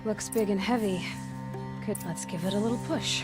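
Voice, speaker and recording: A woman playing a determined, self-reliant young hunter in a video game, measured and matter-of-fact. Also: medium pitch, loud music behind the voice, lightly acted. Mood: dry, curious. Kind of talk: video game dramatic acting.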